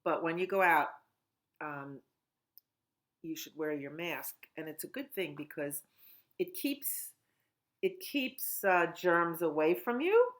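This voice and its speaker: A middle-aged woman at home, a children's librarian talking gently and in a sing-song way during a storytime. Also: fairly low pitch, lively intonation, takes it slowly, long pauses. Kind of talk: storytime reading and chat for children.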